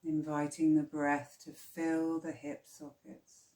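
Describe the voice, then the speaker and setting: A woman teaching yoga nidra, guiding relaxation in a calm, soothing, hushed way with long pauses. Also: low-pitched, echoey room, poor audio. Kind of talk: slow guided relaxation meditation.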